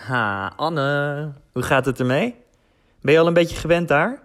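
A man's voice: on the high side, unhurried, a little hiss or hum, lightly acted. An actor playing a friendly, inquisitive man casually checking in, in a naturalistic audio drama. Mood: cheerful, curious. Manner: casual, chatty.

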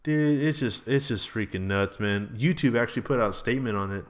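A man's voice: medium pitch, a little fast. A man in his early thirties hosting a casual, rambling solo podcast in a slangy, informal, exclamatory way. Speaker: podcast host and vlogger.

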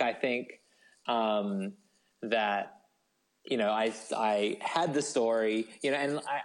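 A man speaking as a guest novelist in a casual interview, rambling and self-deprecating, with hesitant starts. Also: medium pitch. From Australia. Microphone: phone call.

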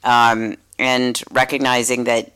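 Woman, low pitch, chatty podcast guest, loose and rambling. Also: level tone, slow pace, fairly bright tone, smooth. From United States. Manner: chatty, many "like" asides, acts out quotes. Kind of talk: casual conversation.

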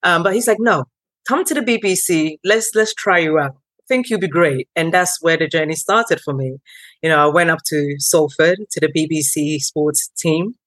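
A woman in her thirties, born in Ghana, recounts her career as a podcast guest. She talks in lively bursts with plenty of ums and acts out the people she quotes. Her voice sounds dry, with no room echo. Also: low-pitched, normal speed. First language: not English. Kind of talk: conversational interview.

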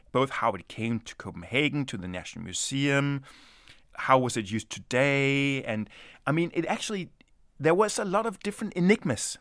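A man's voice: mid-range voice, a bit bright, no room sound, studio quality. A man from Denmark, an anthropologist, answering interview questions in a reflective, hesitant way with many restarts.

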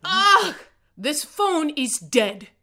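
A woman's voice: sits high, sing-song, drawn-out words, pushed and bright, exaggerated voice. A woman playing a blunt, easily irritated, sarcastic young tomboy in a comic radio play. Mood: exasperated. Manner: blunt, defensive, gruffly sarcastic.

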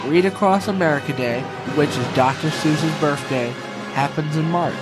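A man's voice: a little high, normal speed, loud music behind the voice. A young man on a podcast team, speaking in a plain, laconic way. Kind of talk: simple reading of facts and casual chat.

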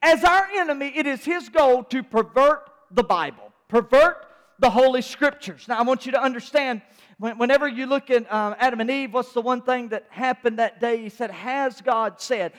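A man's voice: extremely high pitch, normal speed, fairly bright tone. A man preaching a sermon with an emphatic, repetitive cadence. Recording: long hall reverb.

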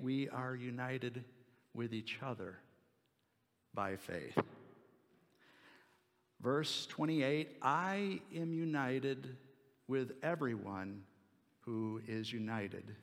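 A man preaching an expository sermon in a deliberate, explanatory way, pausing between short phrases. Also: medium pitch. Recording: long hall reverb.

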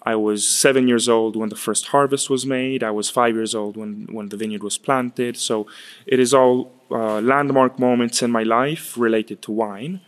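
A man in his twenties, raised in Chile, answering interview questions in a halting way, often repeating words. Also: medium pitch, steady tone, clean tone. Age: 20s. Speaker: guest, winemaker's son. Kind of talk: casual interview.

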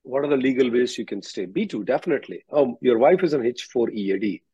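Husky man on a muffled conference call. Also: mid-range voice, expressive, fast, mellow, no echo. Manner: direct, instructive. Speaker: immigration attorney hosting a call-in. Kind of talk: question-and-answer legal advice call. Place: conference call, close dry sound.

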